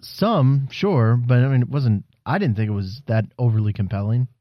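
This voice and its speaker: A man's voice: medium pitch, moderate pace, no room sound, muffled recording. A man co-hosting a casual wrestling chat, joking and rambling, swearing freely. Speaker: podcast co-host.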